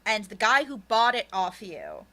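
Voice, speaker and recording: A woman author being interviewed, chatting casually in an animated, self-deprecating, sweary way. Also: mid-range voice, unhurried, no echo.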